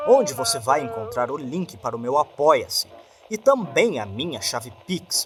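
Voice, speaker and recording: A man, a podcast host making a friendly, direct appeal to his listeners for support. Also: mid-range voice. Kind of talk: channel outro asking for support. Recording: music clearly under the voice.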